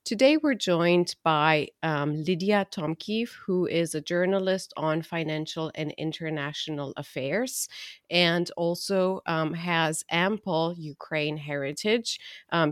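A woman's voice: deep voice, dry recording, studio quality. A woman hosting a podcast who introduces the guest hesitantly, with many fillers. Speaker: podcast host. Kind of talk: show introduction.

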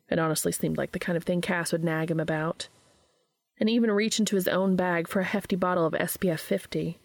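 A woman's voice: fairly low pitch, gravelly, no room sound. A woman hosting and reading fan fiction aloud as scripted narration. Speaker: podcast host and reader.

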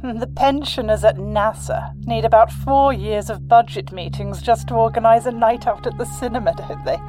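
An actress playing a haughty, self-assured British entrepreneur, posh and dismissive, giving an interview in an audio drama. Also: female voice, on the high side, normal speed, music in the background, close to an everyday voice, low-quality recording. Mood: smug, boastful.

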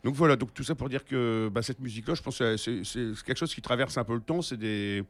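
A man's voice: mid-range voice, quick pace. A man from northern France co-hosting a radio show, rambling along and poking fun at himself. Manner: rambling, self-mocking, animated. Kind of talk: casual radio chat. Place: radio studio.

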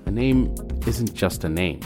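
A man's voice: fairly low pitch, expressive, a little slow. A man from Malawi whose first language is Chichewa, hosting a podcast in a scripted narration with playful asides. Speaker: podcast host. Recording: music bed under the voice.

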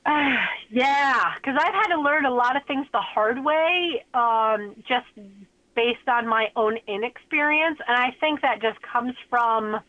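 A woman's voice: a little high, unhurried, bright, forceful tone, no echo. A woman farrier talking about her hoof care work in a rambling way, with many fillers, over the phone. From New Hampshire. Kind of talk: phone interview. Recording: tinny phone line.

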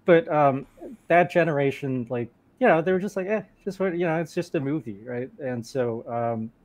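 A man's voice: fairly high pitch, moderate pace, a little mellow. A man who grew up in Thailand, talking casually as a panel guest, often restarting his words. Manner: stammering restarts.

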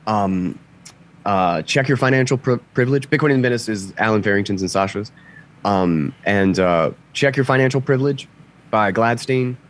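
A man with a lowish voice talks as an interview guest. He sounds a little congested, as though getting his voice back. His explanations are casual and halting, worked out as he speaks. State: slightly congested, recovering from lost voice.

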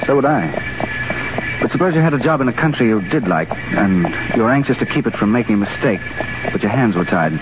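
Actor as ardent English diplomat, suave, wry, restless. Animated 1930s radio leading man on a thin, tinny broadcast. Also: medium pitch, brisk, music in the background, lightly acted. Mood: wry, flirtatious. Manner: suave, bantering, questioning tags. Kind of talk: 1930s radio drama acting.

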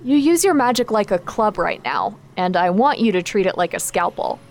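An actress playing a caring but exacting magic trainer in a naturalistic audio drama, frank and instructive in manner. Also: female voice, medium pitch, animated, moderate pace, natural voice. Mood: focused, instructive. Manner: frank, instructive, gently concerned.

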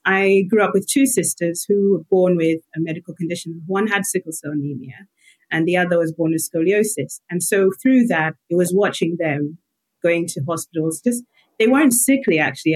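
A woman from Malawi, a guest health psychologist who thinks aloud with pauses as she answers about herself. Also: on the low side, slightly rough, poor audio. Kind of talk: reflective personal interview answers.

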